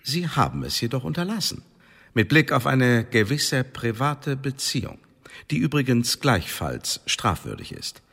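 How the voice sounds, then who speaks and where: An actor playing a stern Elizabethan tribunal official in a German radio drama, formal and officious with a needling edge. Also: male voice, medium pitch, wildly swooping pitch, a little slow, gravelly, fairly bright tone, breathy edge, heavily acted. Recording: long reverberant hall.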